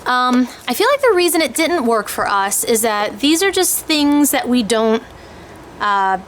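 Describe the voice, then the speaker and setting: A woman's voice: fairly high pitch, big pitch swings, unhurried. A woman giving a casual, explanatory product overview in an informal, hesitant way, as if thinking aloud. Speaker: homeschooling parent reviewing language kits. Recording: clear background noise.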